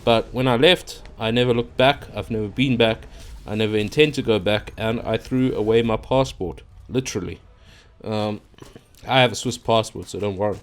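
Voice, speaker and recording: A man's voice: lowish voice, moderate pace. A man from Africa, talking casually into his own microphone in an unscripted, rambling monologue full of fillers and restarts. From South Africa. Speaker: YouTuber. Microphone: own microphone at a computer.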